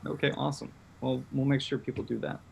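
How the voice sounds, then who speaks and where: A man's voice: mid-range voice, fairly even intonation, fast, gravelly, slightly rough. A man hosting a casual interview over a video call. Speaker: host from a theatre group, interviewer.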